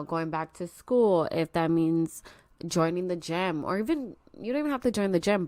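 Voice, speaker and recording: Earnest young woman, stuffy, lower voice. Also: no echo. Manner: earnest, confiding, speaks straight to listeners. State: stuffy from allergies. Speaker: podcast host. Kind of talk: personal solo podcast talk. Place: at home.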